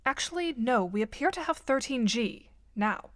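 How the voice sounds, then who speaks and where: A woman's voice: fairly high pitch, lively intonation, moderate pace, very noisy, natural voice. A woman playing a composed, bossy, articulate teenage girl in an audio drama, formal and matter-of-fact. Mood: dry, puzzled.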